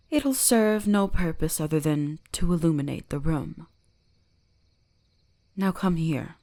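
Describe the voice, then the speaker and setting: Woman voicing a slow, creaky, imperious fox spirit. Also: sits low, heavily acted. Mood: commanding, probing. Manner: slow, deliberate, imperious, teasingly gentle. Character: commanding, centuries-old female kitsune shrine guardian, cold yet fascinated. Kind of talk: scripted ASMR roleplay addressed to the listener.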